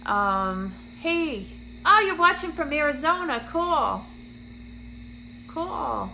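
A woman, a medium hosting a phone live stream from a dim room, chatty and full of ums, sounding congested and a little raspy. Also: sits high, very animated, slow pace, pushed and bright, a little hiss or hum, rough recording. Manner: chatty, greets viewers by name, many ums. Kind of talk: casual livestream chat to viewers. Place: dim indoor room, seated on a couch. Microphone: phone camera at arm's length.

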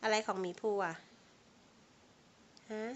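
A woman's voice: slow pace, highs cut off. A woman talking casually to a small child as a parent, asking short questions and repeating the answers. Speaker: parent quizzing a toddler on storybook titles. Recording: noisy home recording.

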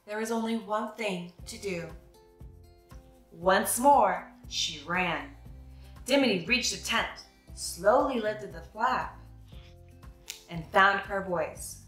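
A young adult woman reading a children's picture book aloud with expressive, dramatic phrasing. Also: medium pitch, takes it slowly, pauses often, roomy sound, soft background music. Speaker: children's read-aloud host.

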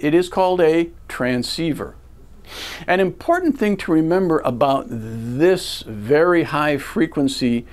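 An American man in his sixties giving a deliberate, step-by-step lesson to camera as a flight instructor, recorded indoors on a lapel mic. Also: on the high side. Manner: deliberate, step-by-step teacherly delivery. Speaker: flight school ground instructor. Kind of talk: instructional lecture to camera with rhetorical questions. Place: indoor video studio. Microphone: lavalier clipped to shirt.

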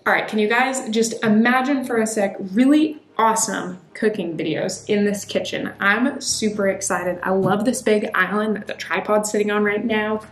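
A young woman giving a chatty, excited tour of an empty apartment, filming herself with a handheld camera. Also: mid-range voice, normal speed, few pauses. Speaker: vlogger. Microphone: handheld camera at arm's length. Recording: echoey empty room with faint music.

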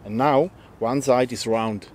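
A man's voice: medium pitch, unhurried, a little mellow, a little hiss or hum. A man, explaining a bushcraft task step by step in a forest. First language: German. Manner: plain, friendly, step-by-step explaining. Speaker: bushcraft instructor. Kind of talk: outdoor tutorial demonstration. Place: forest outdoors.

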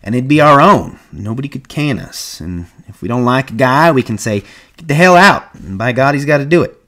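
A man's voice: mid-range voice, lively intonation, brisk, fairly bright tone, voice pushed up. A man voicing a shrewd, folksy ranch hand with plain rustic American speech in an audiobook story. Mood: warm, dreamy.

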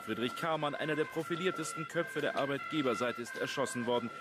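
An actor playing a formal, polite television reporter giving a live news report in a radio play. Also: male voice, medium pitch, fast, very noisy, lightly acted. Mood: formal, urgent. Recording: busy outdoor crowd behind.

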